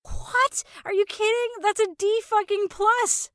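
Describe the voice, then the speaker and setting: A woman playing a brash, sarcastic young wife in comic audio fiction, loud, indignant and always arguing back. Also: extremely high pitch, steady tone, measured pace, dry recording, clean studio sound, voice pushed up. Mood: loud indignation.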